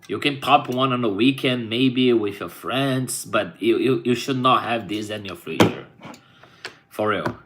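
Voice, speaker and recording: Brazilian man chatting casually, low voice. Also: fairly bright tone, slight background noise. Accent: Brazilian Portuguese. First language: Portuguese. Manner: rambling, frequent "right?" tags. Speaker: rapper. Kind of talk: casual vlog chat.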